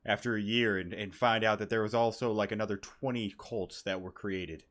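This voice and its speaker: A man's voice: lowish voice, steady tone, normal speed, a bit bright, slight background noise. Joking young man commentating over a game. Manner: joking, slangy, sweary. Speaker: gaming streamer.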